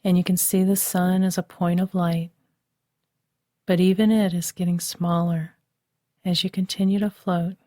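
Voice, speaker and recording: A woman guiding a relaxation meditation, speaking softly and soothingly, recorded dry and close as a voice-over. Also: lowish voice, little pitch movement, no echo. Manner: slow, soft and soothing. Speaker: meditation guide.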